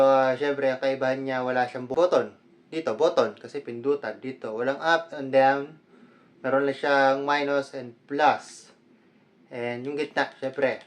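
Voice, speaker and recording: Filipino man casually presenting an unboxing. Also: medium pitch. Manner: conversational, code-switches Tagalog and English. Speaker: vlogger. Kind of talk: casual product unboxing vlog.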